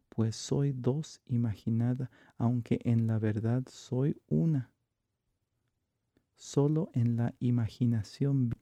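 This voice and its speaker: A man performing a theatrical verse monologue as a jealous, lovestruck noblewoman from classical comedy, in measured, declamatory phrases. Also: mid-range voice, gravelly, warm tone, dry recording.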